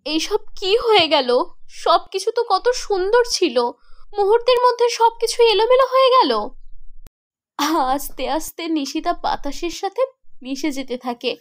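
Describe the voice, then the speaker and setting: A woman's voice: very high-pitched, expressive, normal speed. A woman voicing an indignant young woman, a loyal friend telling her own story in a dramatic horror cartoon. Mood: distraught. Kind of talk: dramatic first-person cartoon narration and acting.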